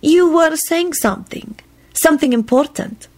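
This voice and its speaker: A woman's voice: sits high, expressive, moderate pace, darkish tone, voice pushed up. A woman voicing a sweet, emotional young foreign woman in love in an audio story, with careful, slightly unidiomatic phrasing. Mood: firm. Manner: careful, non-idiomatic phrasing without contractions.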